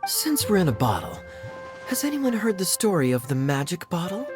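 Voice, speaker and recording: A man voicing a suave, teasing young knight in a video game, who talks with smooth reassurance. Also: high voice, very animated, moderate pace, over music. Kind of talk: video game cutscene dialogue.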